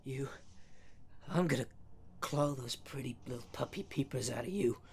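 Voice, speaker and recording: A man's voice: mid-range voice, normal speed, natural voice. Man playing a smug, cocky werecat, noisy background. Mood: angry, hunting. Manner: smug, taunting, theatrical. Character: cocky, cunning male werecat shapeshifter, boastful and menacing. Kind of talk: ASMR roleplay monologue.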